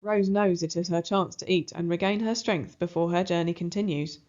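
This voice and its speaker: A woman's voice: fairly low pitch, normal speed, a little mellow. Woman reading a children's story, slightly muffled. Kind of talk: expressive storybook read-aloud. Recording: slightly dull, muffled recording.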